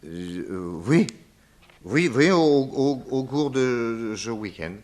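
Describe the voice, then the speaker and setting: A man's voice: mid-range voice, animated, a little mellow. An actor playing a genial, tipsy detective uncle who rambles and stammers through his tale in a 1960s French radio drama. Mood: evasive, rambling. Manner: hesitant, halting, stammering.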